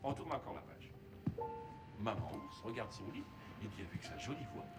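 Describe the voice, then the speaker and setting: A man's voice: on the low side, sing-song, moderate pace. An actor playing a father, talking tenderly and playfully to his small child. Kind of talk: film drama dub. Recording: film soundtrack with loud music.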